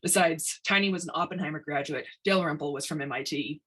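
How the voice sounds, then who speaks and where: A woman reading a story aloud in an expressive audiobook narration style. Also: low-pitched, fairly quick, husky.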